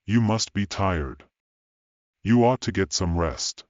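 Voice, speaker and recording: A man playing a powerful, cool and gentle bodyguard in his forties in an audiobook drama, speaking tersely and calmly. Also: low voice, takes it slowly, no echo, dull audio. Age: 40s. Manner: terse, calm, understated. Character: powerfully built forty-year-old bodyguard, calm, cool, gentle.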